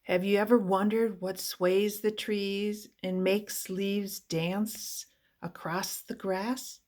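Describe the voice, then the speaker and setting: Female storybook reader, mid-pitched, very slow delivery, expressive phrasing aimed at small children. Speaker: picture book reader. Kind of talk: read-aloud children's storybook narration.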